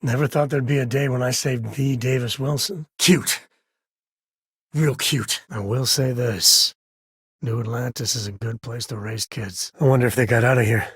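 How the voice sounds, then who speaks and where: An actor voicing a wry, easygoing space ranger and father in a video game, with a husky, raspy voice and a folksy drawl. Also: medium pitch, fairly even intonation, moderate pace, fairly bright tone, no room sound, clean studio sound. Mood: wry, sarcastic. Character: laid-back frontier space ranger, doting father, wry and plainspoken. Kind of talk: video game character dialogue.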